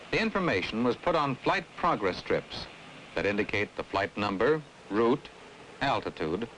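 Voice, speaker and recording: Patient aviation explainer, low and animated, vintage instructional film with faint noise. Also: male voice, poor audio. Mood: patient, explanatory. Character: patient airline man explaining air traffic control. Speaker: aviation professional explaining a flight to a passenger. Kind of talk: scripted explanatory narration in an educational film. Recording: old film soundtrack, faint background noise.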